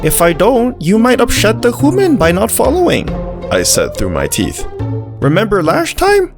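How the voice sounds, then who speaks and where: Someone voicing a male pit bull, eager, chirpy, naive, sweet, highly animated pitch, visual novel storytelling, music behind. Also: moderate pace. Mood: coaxing. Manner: eager, chirpy, childlike wonder. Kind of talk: character dialogue and first-person narration in a visual novel.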